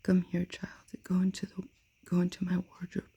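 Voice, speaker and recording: A bossy giantess from a storybook, whispered by a woman. The breathy whisper stays dark and utterly flat, with a gravelly edge. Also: fairly low pitch, normal speed. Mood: urgent. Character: bossy giantess, housewife of a man-eater.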